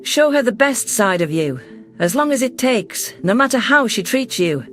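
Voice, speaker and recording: Sci-fi story narrator: man playing a composed, caring alien woman in charge, high and gentle voice, light music bed. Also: animated, moderate pace. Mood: reassuring, firm. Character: caring, composed female alien leader with paws. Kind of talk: first-person sci-fi story narration and dialogue.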